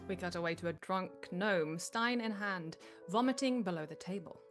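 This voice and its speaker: A woman narrates a script at a live table read, delivering the stage directions. Her voice sits slightly low, and faint music plays along with it. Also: moderate pace.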